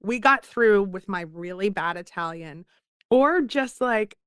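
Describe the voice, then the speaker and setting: Woman, 30s, guest author on a podcast; chatty storyteller, smooth, clean dry sound. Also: mid-range voice, a little slow, no echo. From Philadelphia. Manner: chatty, self-deprecating, lively storytelling. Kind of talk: casual podcast interview.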